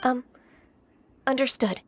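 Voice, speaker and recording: A woman voicing a gentle, earnest young half-spirit maiden, polite and a little hesitant, in a video game. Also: high voice, slow pace, a little hiss or hum. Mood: resolute, humble. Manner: polite, soft-spoken, hesitant.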